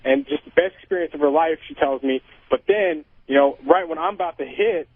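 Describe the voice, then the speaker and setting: A man's voice: on the high side, lively intonation, brisk, no room sound. Young male caller on a thin phone line. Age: 20s. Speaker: phone-in caller, military serviceman. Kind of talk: candid casual phone-in chat. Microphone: telephone. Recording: thin phone-line call to radio show.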